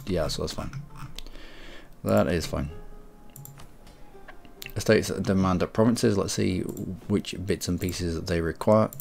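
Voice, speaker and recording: Brief: congested male streamer, deep gravelly voice, rambling self-corrections over faint music. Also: slow, long pauses, darkish tone. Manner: rambling, self-correcting, clears throat often. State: head cold. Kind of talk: casual game commentary.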